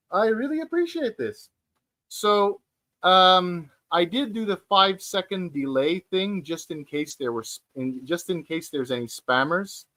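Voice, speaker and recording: A forty-year-old man chatting casually on a livestream from his floor at home. His voice sits a little high and rings bright and forward. He talks unhurriedly, stumbling through restarts and filler words as he checks his notes. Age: 40s. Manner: hesitant, many fillers and restarts; reads out viewer comments. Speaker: livestream host.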